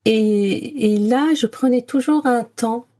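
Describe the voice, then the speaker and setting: A woman's voice: fairly high pitch, slow pace, dark tone. A woman from Morocco talking casually and reflectively as a podcast co-host, searching for her words and mixing in English words. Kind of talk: casual reflective conversation, personal storytelling.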